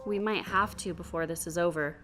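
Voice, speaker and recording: A woman playing a brisk, inquisitive doctor in a radio drama, with a clinical, questioning manner. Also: lowish voice, fairly even intonation, music in the background, close to an everyday voice. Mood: brisk, pleased. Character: brisk, inquisitive female doctor in a secret laboratory.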